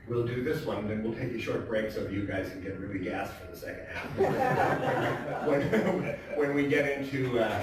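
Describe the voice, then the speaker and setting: A man gives a casual, halting introduction from a stage. His voice is lively in pitch and comes from a distance in a strongly reverberant theatre, with faint noise. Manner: halting, musing, jokey asides. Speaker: stage performer introducing a reading. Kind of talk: informal spoken introduction to audience.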